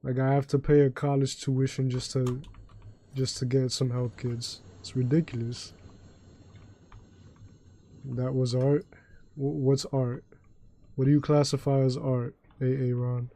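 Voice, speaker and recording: A young man with a deep voice chatting loosely and profanely while streaming a game and taking chat requests. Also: flat delivery, measured pace, pauses often, mellow, slight background noise. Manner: loose, profane, joking, sings badly on request. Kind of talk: casual gaming livestream chat.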